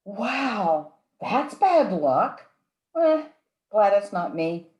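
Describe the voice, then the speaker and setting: An actress playing a sly, flirtatious nightclub dame in a campy 1940s radio noir play. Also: female voice, mid-range voice, very animated, extremely slow, darkish tone. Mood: cool, detached. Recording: roomy live stage sound.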